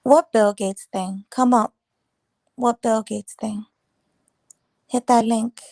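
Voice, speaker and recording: A woman chats loosely on a morning livestream, greeting viewers and riffing on their comments. Her voice is a touch raspy from the early hour, and it sits close and dry with no room around it. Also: on the high side, steady tone, takes it slowly, a little mellow, dry recording. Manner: chatty, rambling, teasing viewers. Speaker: livestream host. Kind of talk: casual live chat reading comments.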